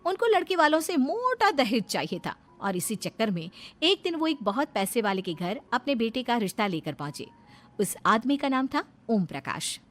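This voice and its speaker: A woman's voice: on the high side, big pitch swings, normal speed. A woman reading scripted story narration as the narrator of a tale. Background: background music.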